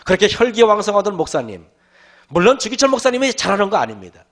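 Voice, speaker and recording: A male pastor giving a sermon, with a hoarse voice and frequent throat clearing, often calling out to the congregation and asking rhetorical questions. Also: sits high, big pitch swings, fast talker, fairly bright tone.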